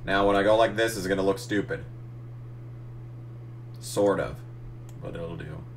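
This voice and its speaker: A young man losing his voice, casually narrating a photo edit, muttering and swearing at the software. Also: low voice, moderate pace, a little hiss or hum. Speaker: gaming channel host. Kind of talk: casual tutorial commentary while editing.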